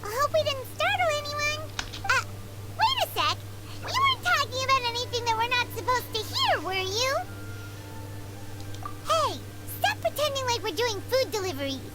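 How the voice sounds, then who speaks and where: A woman voicing a tiny, cheeky, curious fairy companion in a video game, squeaky and chatty. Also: very animated, moderate pace, over loud music. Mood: excited, cheeky. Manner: chatty, exclamatory.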